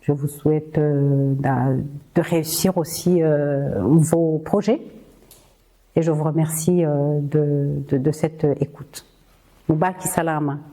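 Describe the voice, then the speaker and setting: A Rwandan-born woman hosts her own podcast in an earnest, rallying monologue. She talks slowly and often hesitates or repeats words. Her voice sits a little low and sounds mellow and husky. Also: steady tone. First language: Kinyarwanda. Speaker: podcast host.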